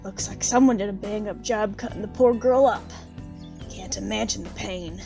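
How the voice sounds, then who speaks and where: A woman's voice: medium pitch, normal speed, creaky, warm tone, soft background music, lightly acted. A woman playing a poor, plain-spoken country widow, bitter and unsentimental, in an audio drama. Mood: matter-of-fact.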